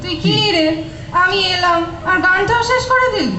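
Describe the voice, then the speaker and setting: A woman's voice: very high voice, lively intonation, moderate pace, pushed and bright, faint music behind, exaggerated voice. An actress playing a bossy, teasing young woman among close friends in an audio drama. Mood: mock-scolding. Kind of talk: Bengali audio drama acting, casual banter. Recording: dull, echoey room with background noise.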